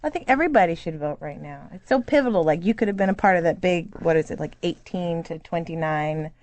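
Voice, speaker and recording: Soft-spoken woman in her late twenties. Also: quick pace, dry recording. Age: 20s. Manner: soft, friendly, holds her voice low and even. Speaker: guest. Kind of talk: casual chat.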